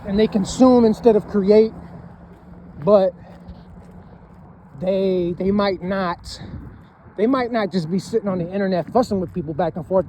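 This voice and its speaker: A high-voiced man of thirty with a drawl rambles through a casual motivational talk and keeps circling back to the same points. His tone is mellow and relaxed rather than bright. Faint traffic and street sounds hang behind him outdoors. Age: 30s. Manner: rambling, repeats himself, keeps saying "man" and "you know what I'm saying". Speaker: vlogger.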